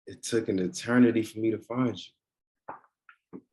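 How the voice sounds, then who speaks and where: A man's voice: lowish voice, steady tone, measured pace, dark tone, a little hiss or hum, close to an everyday voice. A man reading a teasing, devoted boyfriend in a naturalistic drama table read, conversational and a little hesitant. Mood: teasing, affectionate. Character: teasing, devoted boyfriend, nervous about his grandmother. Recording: virtual meeting recording.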